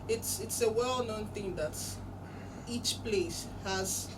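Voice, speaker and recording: A woman with a Nigerian accent talks in a conversational, explanatory way as an interview guest. Her voice sits at a medium pitch, picked up from a distance amid loud vehicle noise. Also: slow. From Igboland, Nigeria. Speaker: interview guest from a cultural centre.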